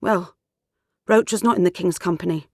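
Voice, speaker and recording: A woman's voice: on the low side, moderate pace, vocal fry, darkish tone, dry recording. A woman acting a shaken young soldier giving a grim account in a fantasy video game. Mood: shaken, subdued.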